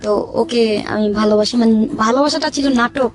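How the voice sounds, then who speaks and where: A woman's voice: on the high side, fairly quick. A woman with a Bangladeshi accent tells her life story informally as a guest, acting out the dialogue as she goes. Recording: music under the voice.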